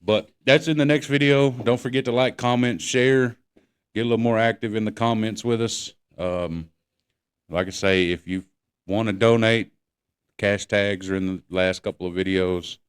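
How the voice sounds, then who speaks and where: A man's voice: fairly low pitch, fairly even intonation, moderate pace, smooth. A man chatting casually as a podcast co-host, laid-back and full of slang. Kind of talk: casual chat.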